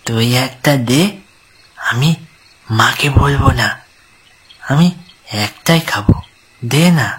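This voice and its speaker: A woman's voice: rumbling low, slow pace, long pauses, a bit bright, exaggerated voice. A woman voicing a greedy, wheedling young boy in a horror cartoon, with faint night noise behind. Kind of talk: Bengali horror cartoon dub.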